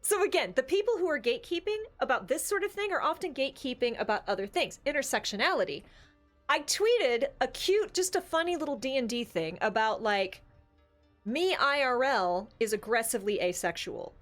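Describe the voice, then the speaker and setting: High-voiced woman chatting about tabletop games. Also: expressive, fairly quick, soft background music. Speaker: podcast co-host. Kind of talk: casual discussion of tabletop gaming.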